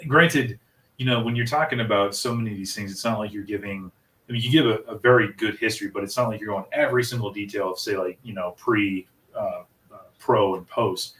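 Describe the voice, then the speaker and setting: A man's voice: fairly low pitch, fairly quick, a bit bright, distant mic. An American man in his thirties hosting a casual interview, chatty and rambling. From United States. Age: 30s. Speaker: interview host. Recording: echoey room, away from the mic.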